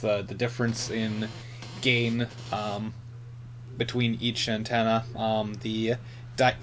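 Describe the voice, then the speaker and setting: A man talks through a hobby radio demonstration in a casual, halting way. He thinks aloud at a middling pitch, and a faint hum sits behind his voice. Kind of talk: casual hands-on equipment demonstration.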